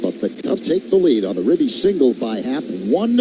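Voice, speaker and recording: American baseball announcer over ballpark noise. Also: male voice, medium pitch, very animated, normal speed. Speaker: radio play-by-play announcer. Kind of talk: live baseball commentary. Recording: clearly audible stadium ambience noise. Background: ballpark ambience.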